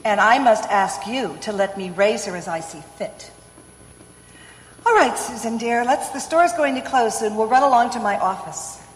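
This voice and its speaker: A woman's voice: on the high side, lightly acted. Woman playing poised, skeptical career woman, echoey hall. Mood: firm, controlled. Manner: polite, firm, measured. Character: poised, practical, skeptical working mother and manager. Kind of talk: old-time radio play acting. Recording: echoey hall with faint ambient noise.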